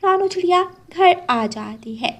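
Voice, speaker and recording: A woman's voice: very high-pitched, animated, unhurried. A woman storyteller reading scripted narration for a children's cartoon moral tale.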